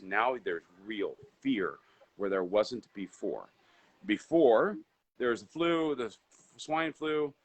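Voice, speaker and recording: A man's voice: medium pitch, a bit bright. A man who grew up in Indonesia, with Indonesian as his first language, talking as a guest entrepreneur in a casual live interview over a video call. He rambles, with many fillers and restarts.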